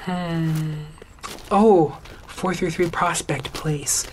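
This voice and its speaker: A woman playing a gentle, awkward, loyal schoolboy in an audio drama, soft-hearted and hesitant in manner. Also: on the low side, fairly even intonation, drawn-out words, slight background noise, low-quality recording. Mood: shy, caring.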